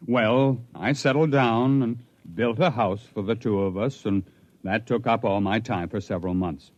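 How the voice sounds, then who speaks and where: A man's voice: fairly low pitch, normal speed, a little mellow, dry recording, natural voice. An actor playing a proud, intense stranded spaceman telling his story in a 1950s radio drama, with emphatic, dramatic delivery. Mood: wry, wistful. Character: stranded human spaceman recounting his life among aliens, proud, intense. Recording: muffled vintage 1950s radio recording.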